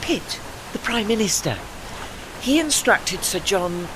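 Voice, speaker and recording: Man playing a lofty, high-voiced old warship. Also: big pitch swings, takes it slowly, background noise, exaggerated voice. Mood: proud. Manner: formal, dry, faintly pompous diction. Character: proud ageing old warship, refined, educated and witty. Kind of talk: audio play acting.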